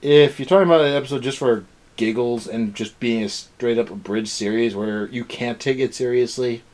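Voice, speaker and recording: A man's voice: medium pitch, normal speed, a little mellow. A young man hosting a casual review chat, rambling along in an exasperated way. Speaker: review show host. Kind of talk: casual rant-style episode review chat.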